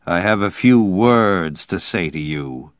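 A man's voice: deep voice, lively intonation, takes it slowly, no echo. Stern male boss, performed by a male narrator: biting, disbelieving, accusatory emphasis, dry audiobook sound.

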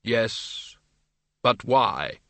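Audiobook reaper played by a man: skeletal, unhurried, terse, gravely dry, very measured delivery. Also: medium pitch, lively intonation, bright, forceful tone, no echo, full character voice. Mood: dry, questioning. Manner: slow, deliberate, terse. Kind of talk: audiobook character voice.

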